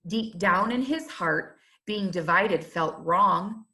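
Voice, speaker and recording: A woman reading a rhyming children's storybook aloud, in a measured and expressive teacher's manner. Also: mid-range voice.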